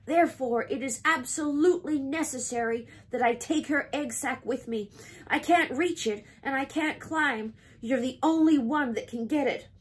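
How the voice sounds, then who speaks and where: A woman's voice: sits high, normal speed, pitched above the performer's own voice, lightly acted. A woman voicing a young male pig in a children's storybook, an urgent, emotional and devoted friend who pleads in exclamations. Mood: frantic, pleading.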